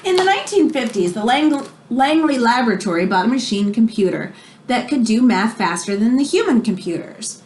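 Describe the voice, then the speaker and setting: A woman's voice: on the high side, animated, fairly quick, a little mellow, slight background noise. A woman teacher reading a children's nonfiction book aloud, explaining as she goes and stressing key phrases. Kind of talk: expressive read-aloud of a children's nonfiction book with brief asides.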